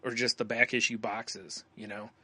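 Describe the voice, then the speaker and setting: Male podcast co-host, bright forward voice, rambling conversational delivery, very dry close recording. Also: on the low side, level tone, moderate pace, breathy edge, dry recording. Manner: rambling, conversational, often says "you know". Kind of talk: casual chat.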